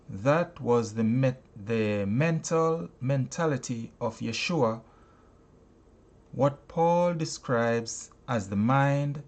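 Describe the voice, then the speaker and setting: A man with a mid-pitched voice reads inspirational teaching at an unhurried, slow pace. He delivers it like an audiobook for students, stressing key words emphatically and leaving dramatic pauses in a preacher-like way. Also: a little mellow. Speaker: teacher reading a self-help book aloud. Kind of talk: deliberate audiobook-style reading of inspirational teaching.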